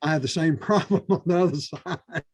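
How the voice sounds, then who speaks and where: A man giving spoken feedback on a manuscript to a writers' panel, halting and full of fillers and restarts. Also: fairly high pitch, breathy edge, no echo. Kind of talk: casual spoken critique.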